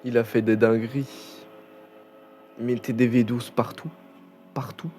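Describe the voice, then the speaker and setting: A man's voice: medium pitch, flat delivery, measured pace, mellow, soft background music. A young man chatting casually over a racing game, rambling with many fillers and restarts. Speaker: gaming channel host. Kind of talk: casual gameplay commentary.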